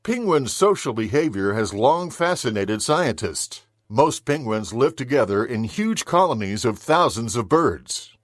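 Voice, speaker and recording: A man giving a measured, informative scripted reading of a nature book for children. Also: medium pitch, dry recording, studio quality. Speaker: children's audiobook narrator. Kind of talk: scripted nature documentary-style narration for children.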